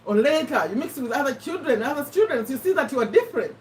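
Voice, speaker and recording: A woman with a Ugandan accent hosting a casual live stream, chatting to viewers in an animated way and repeating herself for emphasis. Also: sits high, fast talker, a little hiss or hum. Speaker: host of a live personal development discussion. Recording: voice somewhat far from the mic.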